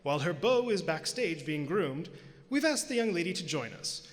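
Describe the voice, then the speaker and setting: A man playing a hearty, theatrical old-time radio announcer and pitchman, polished, showy and emphatic. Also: big pitch swings, moderate pace. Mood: hearty, salesmanlike. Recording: live theater, long hall reverb.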